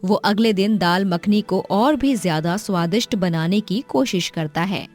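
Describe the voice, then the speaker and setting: A woman's voice: medium pitch, unhurried, a little mellow. A woman reading scripted narration for a moral story as its storyteller.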